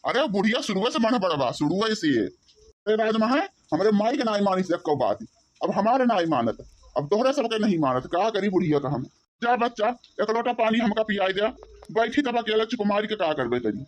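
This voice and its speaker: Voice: mid-range voice, big pitch swings, brisk, close to an everyday voice. An actor voicing a grumbling, suspicious elderly village father-in-law in a comedy cartoon, rambling with a rustic Awadhi accent. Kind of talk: comic cartoon dialogue in village dialect.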